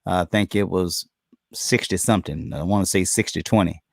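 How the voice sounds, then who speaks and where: A man hosting a live-streamed community talk show, loose and halting with many ums and uhs, his voice going hoarse as he keeps clearing his throat. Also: sits low, dry recording, clean studio sound. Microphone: computer or phone on internet stream.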